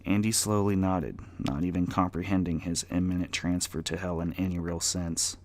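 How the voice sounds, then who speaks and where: A man's voice: deep voice, steady tone, normal speed. A man giving a dramatic scripted reading as the narrator of a darkly comic story.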